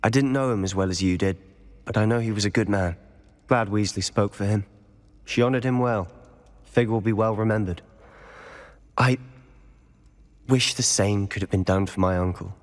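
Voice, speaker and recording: A man voices a young student mourning a teacher, sincere and a little hesitant, in a lowish voice. A hall reverb hangs around his lines. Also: normal speed, long pauses, close to an everyday voice. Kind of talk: video game cutscene acting.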